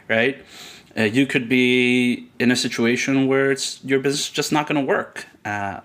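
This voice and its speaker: A man's voice: mid-range voice, fairly bright tone. Reflective man from Pakistan. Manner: reflective, hesitant, many fillers. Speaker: podcast guest. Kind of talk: casual interview answers.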